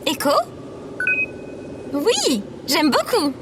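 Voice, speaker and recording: A woman's voice: extremely high pitch, huge pitch swings, extremely slow, noisy background, exaggerated voice. A woman playing a polite, naive, literal-minded female AI companion in a comedic audio drama. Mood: blithe, persuasive. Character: female robot or AI companion, naive, blithely unapologetic.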